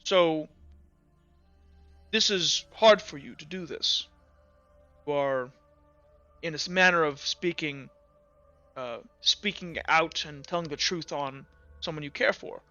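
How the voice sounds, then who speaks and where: A man puts on a sergeant questioning a witness in a fantasy game courtroom. The delivery is formal, courteous and deliberate, pitched up and forceful, with slow pacing. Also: dry recording, lo-fi sound, soft background music. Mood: formal, probing.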